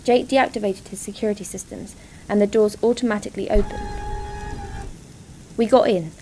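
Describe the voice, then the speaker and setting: A woman's voice: medium pitch, fairly even intonation, moderate pace, warm tone, natural voice. A woman playing a tough, tense heist operative who narrates her own story in a radio drama. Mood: narrating, wary. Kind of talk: radio drama first-person narration with acted dialogue. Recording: noisy recording with vehicle ambience.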